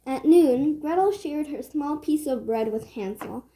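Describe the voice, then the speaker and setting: A woman storyteller narrating a children's read-aloud tale with measured phrasing. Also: high voice, animated, warm tone, slight background noise, rough recording.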